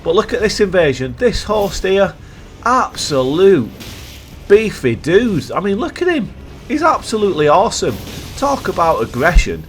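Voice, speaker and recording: A man with a croaky voice from a cold, giving playful, jokey commentary over gameplay as a video host. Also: high-pitched, sing-song, moderate pace, a little hiss or hum.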